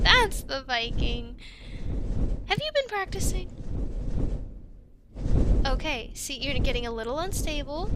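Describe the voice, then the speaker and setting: Casting: actress as young female Viking dragon trainer for fantasy audio roleplay. High voice, unhurried delivery, reassuring and gently teasing. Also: very noisy. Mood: delighted, impressed, then calming. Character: friendly, encouraging young female Viking dragon-riding instructor. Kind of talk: ASMR roleplay, addressed to the listener. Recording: loud ambient sound effects behind voice. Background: loud ambience sound effects.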